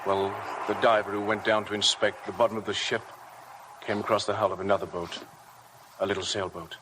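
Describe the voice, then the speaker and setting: An actor playing a grave, worried family friend in a 1950s radio drama, measured and sombre. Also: male voice, on the low side, little pitch movement, close to an everyday voice. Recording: noisy vintage 1950 radio broadcast.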